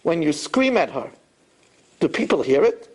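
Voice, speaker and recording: A man voicing a wise, calm, older male rebbe who gives matter-of-fact advice with dry humor. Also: fairly high pitch, moderate pace, husky, low-quality recording. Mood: advising.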